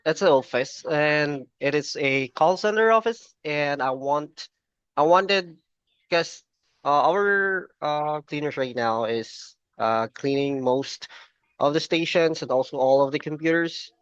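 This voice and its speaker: A man's voice: a little high, lively intonation, takes it slowly, a bit bright, smooth, dry recording. Dull, close and dry call audio carries a man's voice. He talks casually as a customer on a sales call, hesitant and repetitive, doubling up his words as he agrees. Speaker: prospective client in a mock sales call. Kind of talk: casual phone conversation.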